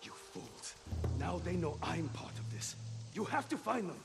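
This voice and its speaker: A scheming traitor monk in a video game, agitated and urgent. His creaky voice swings widely in pitch, with loud music over it. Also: big pitch swings, a little slow, heavily acted. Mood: urgent, angry. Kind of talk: dramatic video game acting.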